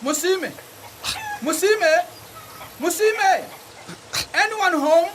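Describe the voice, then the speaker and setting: A man's voice: very high-pitched, animated, drawn-out words, background noise, exaggerated voice. A man with a Ugandan accent playing an insistent, meddling old village chairman in a radio drama, probing and easily scandalized. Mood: calling, insistent. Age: older.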